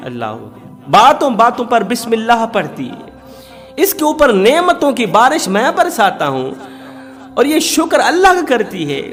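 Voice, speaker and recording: A man's voice: very high-pitched, pitched above the performer's own voice. A man telling a moral tale, voicing a resentful, boastful husband who complains in repeated indignant questions. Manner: indignant, repetitive rhetorical complaining. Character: resentful hypocrite husband, jealous and boastful of his generosity. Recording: long hall reverb.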